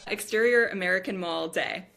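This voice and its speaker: A woman's voice: mid-range voice, normal speed, over loud music. A woman narrating screenplay stage directions at a scripted table read.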